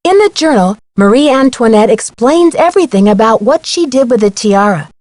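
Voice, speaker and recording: A woman's voice: on the high side, animated, moderate pace, a little mellow, lightly acted. A woman voicing an inquisitive young female detective in a video game, speaking earnestly and neatly as she explains things. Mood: earnest, curious. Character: bright, inquisitive teenage girl amateur detective.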